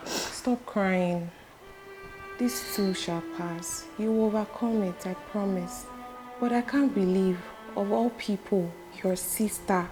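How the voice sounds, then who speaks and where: A woman acting a supportive friend in a film drama, with a consoling, measured manner. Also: medium pitch, lightly acted, low-quality recording. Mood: shocked. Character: supportive, shocked female friend consoling a betrayed wife. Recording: music behind dialogue.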